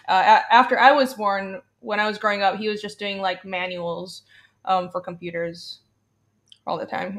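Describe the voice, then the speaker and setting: A woman's voice: mid-range voice, fairly bright tone. A young woman from Taiwan, a guest on a live stream, chatting in a bubbly way and laughing often. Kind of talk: casual live-stream chat.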